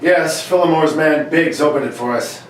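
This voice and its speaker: A gruff, exasperated older police inspector, played by a man in an old-time radio play, blustering in a slightly high voice. He sounds off-mic in a very echoey room. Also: normal speed, lightly acted. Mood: exasperated, matter-of-fact. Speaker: amateur radio play performer. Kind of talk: 1940s radio play read for laughs. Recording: distant mic, very echoey room, faint noise.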